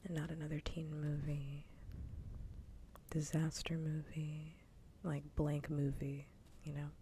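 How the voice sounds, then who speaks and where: Woman, whispered ASMR, low pitch, dark mellow tone, breathy, casual opinionated chat, close mic. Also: completely flat delivery, takes it slowly, a little hiss or hum. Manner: casual, opinionated, chatty with dry humour. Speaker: streamer hosting a live ASMR stream. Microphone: close ASMR microphone.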